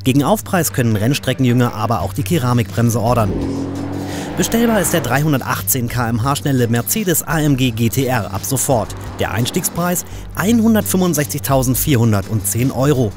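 A man's voice: mid-range voice, very animated. German man narrating over music. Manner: brisk, informative, technical. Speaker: car magazine narrator.

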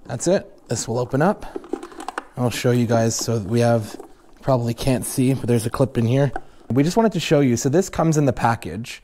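A young man casually walking viewers through installing a snowmobile accessory in a garage workshop, relaxed and plain-spoken with many fillers. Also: medium pitch, normal speed, a little hiss or hum. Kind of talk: casual step-by-step install walkthrough.

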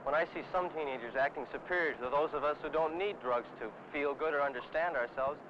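A man's voice: fairly high pitch, a little fast. A young man earnestly stating his opinion. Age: teens or young adult. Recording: noisy dull outdoor old film.

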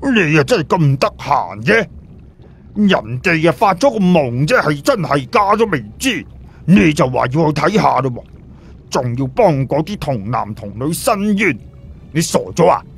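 A man's voice: fairly high pitch, big pitch swings, a little slow, creaky, slightly rough, voice pushed up, exaggerated voice. A man with a Cantonese accent voicing a brash, exasperated man who scolds his companion in blunt questions for an audio story. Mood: exasperated, alarmed. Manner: blunt, repetitive, firing rhetorical questions.

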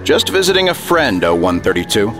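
A man's voice: lowish voice, big pitch swings, fairly quick, music in the background. Man playing a smarmy, lilting FBI boss. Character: smarmy, evasive male FBI assistant director. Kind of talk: 1950s radio drama acting.

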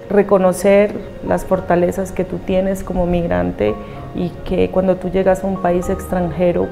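A woman's voice: medium pitch, vocal fry. A woman from Colombia who runs a hotel, speaking earnestly in a documentary interview with some hesitant fillers. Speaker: hotel owner, human rights lawyer.

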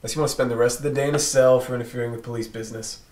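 Guy reading a cocky gruff detective, sarcastic, echoey room, kinda far from the mic. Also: medium pitch, fairly even intonation, rapid-fire, a little mellow, lightly acted. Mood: defiant. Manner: blunt, casual, sarcastic. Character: gruff, cocky, needling male police detective. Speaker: actor reading a police detective at a table read. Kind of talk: scripted table read. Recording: roomy, distant mic, faint background noise.

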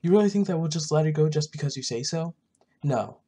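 A man's voice: a little high, animated, brisk, mellow, slightly rough. A man voicing a menacing, commanding kidnapper in a fantasy game, stern and threatening.

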